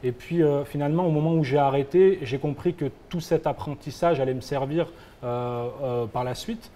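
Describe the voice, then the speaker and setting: Hesitant young man with a mellow voice. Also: fairly high pitch, normal speed. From France. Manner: hesitant, many fillers and restarts. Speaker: guest, founder of a football agency. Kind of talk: interview answers.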